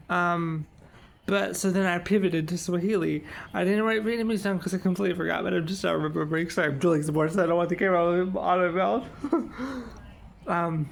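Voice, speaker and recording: A young man in his twenties talks to the camera in a loose, rambling vlog style, with many fillers and restarts. His voice is strongly gravelly, with heavy fry, and sits a little high for a man. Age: 20s. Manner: rambling, lots of "like" and "um". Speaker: vlogger. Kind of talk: casual vlog chat.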